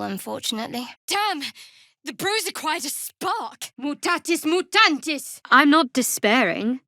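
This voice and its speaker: A woman playing a guarded, sardonic young female cleric adventurer in a fantasy video game, with a dry, wry, measured delivery. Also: a little high, a bit bright, no room sound. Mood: forceful, urgent, then earnest.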